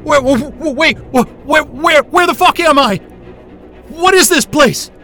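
A man's voice: very high voice, a little slow, sharp tone, heavily acted. An actor playing a bewildered, angry, foul-mouthed male astrophysicist who stammers his way through a science-fiction audio drama. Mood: panicked. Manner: gasping, stammering, profane.

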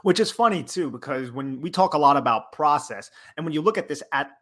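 The second guy on a sports podcast, a middle-pitched male voice casually weighing in on his partner's take. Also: lively intonation, a little fast, fairly bright tone.

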